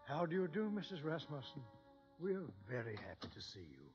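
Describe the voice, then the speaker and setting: Music plays clearly behind a muffled old radio recording. a discreet, deferential household butler in a 1950s drama, soft-spoken and formal, his pitch moving freely. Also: sing-song, normal speed. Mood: courteous.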